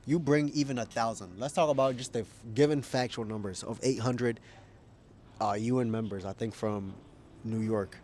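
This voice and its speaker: A man's voice: mid-range voice, fairly even intonation, moderate pace, a little mellow, a little hiss or hum. A man making a casual opinion vlog as a YouTuber, rambling, thinking aloud and correcting himself, with frequent chuckles. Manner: chuckles often while talking.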